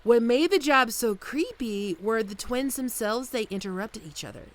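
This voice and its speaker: A woman's voice: fairly high pitch, expressive, moderate pace, natural voice. A woman playing an affectionate, wry bodyguard wife in an intimate, soothing ASMR roleplay. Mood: wry, uneasy. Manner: soothing, playful, storytelling. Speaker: voice actor in an audio roleplay. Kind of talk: ASMR roleplay, intimate one-sided dialogue.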